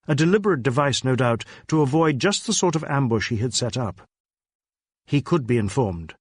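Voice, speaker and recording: A man reading a crime novel as scripted dramatic narration, in a measured, literary storytelling manner. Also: mid-range voice, no echo, studio quality. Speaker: audiobook narrator.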